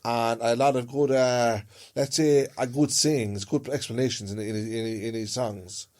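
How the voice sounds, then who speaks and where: Irish man chatting, medium-pitched voice. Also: fairly even intonation, unhurried, a little mellow. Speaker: podcast co-host. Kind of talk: casual conversational chat.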